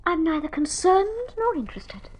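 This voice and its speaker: High-voiced, prim young ward. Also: female voice, very animated, moderate pace. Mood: disapproving. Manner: formal, reproving. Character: prim, earnest young ward, coolly disapproving. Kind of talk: vintage Australian radio drama acting.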